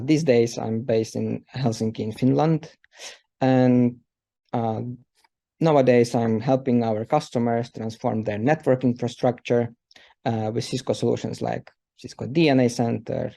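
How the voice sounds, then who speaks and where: A man in his thirties from Ukraine presents a technical session for an audience. His voice is gravelly and mellow, with a low, dark color. He talks loosely, filling gaps with uh and um. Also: steady tone, unhurried. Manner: loose, filler-heavy speech with many uh and um. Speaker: presenter, systems engineer.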